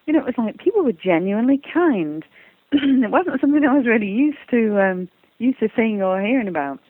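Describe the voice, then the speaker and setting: A woman's voice: on the high side, expressive, brisk, no echo. A woman with an English accent, a guest author talking eagerly and often repeating words as she starts sentences. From England. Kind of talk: casual interview talk. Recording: tinny phone line.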